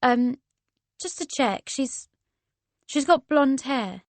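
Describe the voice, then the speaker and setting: Woman playing a hesitant teenage girl, highish. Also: expressive, no room sound, exaggerated voice. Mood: nervous, hesitant. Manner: hesitant, halting. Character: earnest, nervous British teenage girl. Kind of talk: character dialogue in an audiobook.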